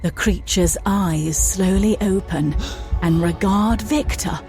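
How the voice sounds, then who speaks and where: Woman narrator reading drama scenes, middle pitch, bit of music underneath. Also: takes it slowly, a little mellow.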